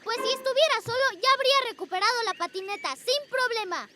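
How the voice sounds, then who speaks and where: A performer voicing a plucky, bossy little boy superhero rabbit in a children's cartoon dubbed in Latin American Spanish, excitable and childlike. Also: extremely high pitch, quick pace, very noisy. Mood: bossy, indignant.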